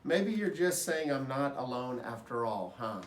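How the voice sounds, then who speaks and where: Guy doing a jolly booming janitor from a kids book, chatty n folksy, bit far from the mic. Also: sing-song, a little slow. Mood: warm, reassuring. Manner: hearty, folksy, playful. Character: big jovial male night janitor, friendly and chatty. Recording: roomy echo, distant microphone.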